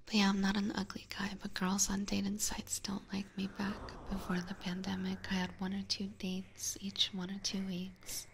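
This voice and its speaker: A woman doing casual ASMR chat in a soft, breathy whisper close to a binaural mic, informal and hesitant, chatting to viewers. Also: mid-range voice, monotone, normal speed, hardly any pauses, slight background noise. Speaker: ASMR streamer and host. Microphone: close binaural ear microphone.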